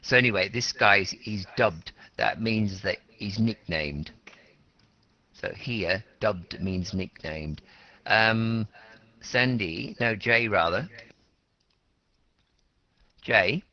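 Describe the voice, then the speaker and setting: A man at the front of a university language class, his low voice carrying through a muffled recording as he quizzes students and spells out meanings. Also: slow, long pauses, pushed and bright. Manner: explains words simply, repeating and rephrasing. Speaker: teacher of an English class. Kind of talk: interactive classroom lecture, questioning students.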